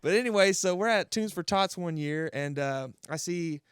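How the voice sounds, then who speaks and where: A man hosting a casual interview, laid-back and upbeat. His voice sits a bit high and sounds smooth and clear. It is recorded dry and close. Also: normal speed, dry recording. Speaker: interview host.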